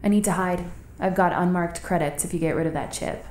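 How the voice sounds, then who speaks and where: A woman's voice: on the low side, brisk, darkish tone, lightly acted. An actress playing a wary, defiant young rebel woman, acting and narrating in a cyberpunk radio drama. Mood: dry, guarded. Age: young adult.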